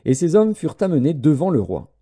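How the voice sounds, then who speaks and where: A man narrating scripture as an audiobook in a solemn, measured way. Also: fairly high pitch, very animated, mellow, husky.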